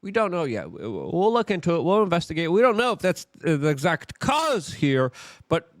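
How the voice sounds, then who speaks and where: A man's voice: fairly high pitch, big pitch swings, normal speed, dry recording, clean studio sound. A man hosting an opinionated political commentary, speaking in an emphatic, theatrical and sarcastic manner.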